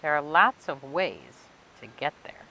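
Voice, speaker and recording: Low-voiced woman reading a picture book, lively pitch. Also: a little slow, low-quality recording. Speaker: library storytime reader. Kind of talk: expressive children's picture book read-aloud. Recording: clear background noise and hiss.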